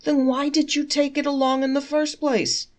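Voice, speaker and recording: A woman's voice: high-pitched, flat delivery, normal speed, pitched above the performer's own voice, natural voice. A woman voicing an indignant, baffled village sage in a folktale, exclaiming and questioning. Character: umbrella-less sage of Chelm, indignant, baffled old fool.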